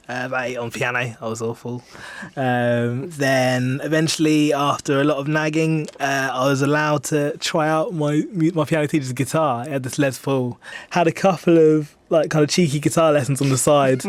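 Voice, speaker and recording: A man's voice: medium pitch, no room sound. A man in his twenties with an English accent, chatting casually as a musician in a radio interview, self-deprecating and rambling with hesitations. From England. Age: 20s. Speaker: singer-songwriter being interviewed. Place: radio studio.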